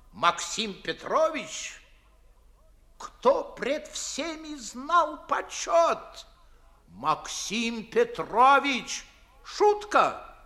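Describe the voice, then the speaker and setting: A pompous older Moscow father in a 1950s radio stage comedy. He is high-voiced and bright, fussing and blustering, and stretches his words slowly with swooping, exclamatory emphasis. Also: full character voice. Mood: reverent, boastful. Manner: declamatory, fussy, exclamatory, drawn-out emphatic words. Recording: 1950s Soviet radio play recording.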